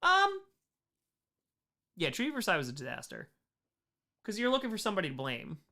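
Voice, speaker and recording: An American man hosting a casual livestream Q&A, chatting in an animated way and repeating short phrases for emphasis. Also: high-pitched, fairly quick. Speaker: livestream host answering chat questions.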